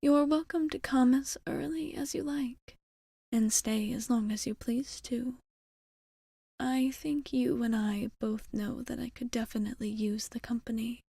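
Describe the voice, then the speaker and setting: A woman playing a refined, teasing, centuries-old vampire bartender in a soft ASMR roleplay, half-whispered, with formal, coy phrasing. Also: a little high, fairly even intonation, measured pace, vocal fry, breathy edge, dry recording, studio quality, exaggerated voice. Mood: teasing, flirtatious.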